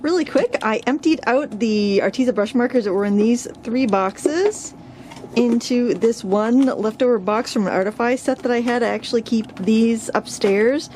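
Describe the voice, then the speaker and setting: A woman's voice: fairly high pitch, normal speed, darkish tone, a little hiss or hum. Woman, Maine accent, craft vlogger, rambling self-deprecating chat, handheld camera mic, indoor craft room. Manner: rambling, self-deprecating, many ums and likes. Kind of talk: casual chatty vlog while tidying.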